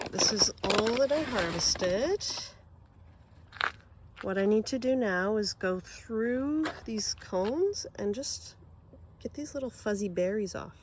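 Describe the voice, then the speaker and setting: A woman with a cold talks slowly and easily, her voice a bit nasal and stuffed up. She is outdoors and explains as she goes, with faint insect and cricket noise behind her. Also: medium pitch. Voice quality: slightly nasal. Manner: relaxed, unhurried with fillers. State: congested with a cold. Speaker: forager and video host. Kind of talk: casual how-to narration. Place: outdoors by an abandoned railway line.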